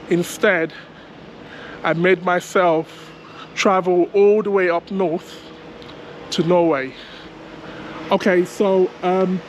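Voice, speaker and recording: Hoarse man vlogging slowly outdoors. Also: high voice, a little hiss or hum. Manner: hesitant, many ums, thinking aloud. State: hoarse, sore throat. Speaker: travel vlogger. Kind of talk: casual travel vlog, walking outdoors. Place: outdoors on city streets.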